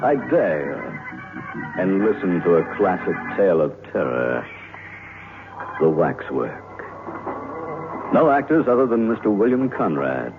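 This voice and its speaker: A man playing a gleefully macabre, punning horror host on old-time radio, theatrical and drawn-out with tongue-in-cheek menace. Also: deep voice, sing-song, heavily acted. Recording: narrow-band old radio, loud music behind.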